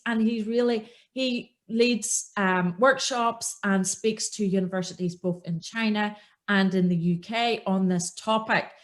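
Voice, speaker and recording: Upbeat woman hosting a podcast. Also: mid-range voice. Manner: upbeat, enthusiastic welcome. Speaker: podcast host. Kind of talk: scripted podcast introduction.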